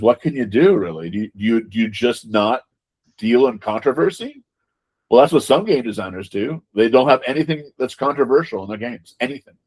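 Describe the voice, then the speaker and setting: A man's voice: fairly low pitch, a little mellow, husky. Emphatic man in conversational interview. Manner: emphatic, repeats words and phrases; frequent rhetorical checking questions. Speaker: interviewed tabletop game designer.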